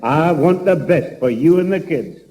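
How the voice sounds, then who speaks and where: A man's voice: fairly high pitch, animated, moderate pace, dark tone, close to an everyday voice. A man with a Scottish accent playing a hearty old grandfather who likes a drink, in a 1960s radio sitcom. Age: older. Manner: hearty, jovial. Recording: echoey old radio studio.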